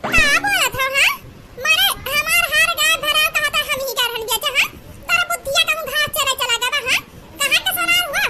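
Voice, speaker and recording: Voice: very high voice, fairly quick, noisy background. A performer voicing a dramatic, scheming village woman in an Awadhi comedy cartoon, theatrical and full of exclamations and complaints. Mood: indignant. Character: dramatic scheming village women of a squabbling family. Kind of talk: exaggerated comic cartoon dialogue in rural dialect.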